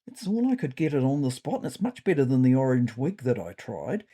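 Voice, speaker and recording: A woman's voice: a bass voice, big pitch swings, a little fast, dark tone, breathy edge, heavily acted. A woman voicing a carefree, cheeky male cat with a casual, breezy manner in a comedy story. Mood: breezy, giggly.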